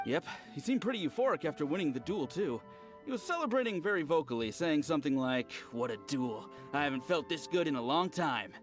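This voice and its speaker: A man's voice: on the high side, fairly quick, soft background music. A man playing a chatty, easily impressed villager in a video game, telling things with relish. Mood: excited, gossipy. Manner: animated, storytelling.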